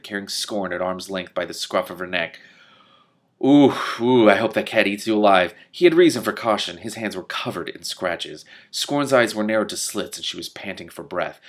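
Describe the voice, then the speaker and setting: A man reading a novel aloud in an expressive way, breaking into exclamatory, spontaneous reactions of his own. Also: low-pitched. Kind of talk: animated audiobook-style reading with spontaneous commentary.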